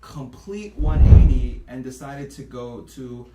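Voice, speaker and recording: A man hosting a comedic talk show, playfully teasing his guest and shouting the show intros. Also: mid-range voice, expressive, takes it slowly, echoey room, very noisy.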